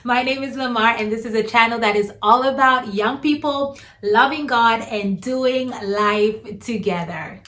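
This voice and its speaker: A woman's voice: a little high, unhurried. Earnest young woman from Uganda vlogging. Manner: earnest, warm, laughs between phrases. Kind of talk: casual faith vlog.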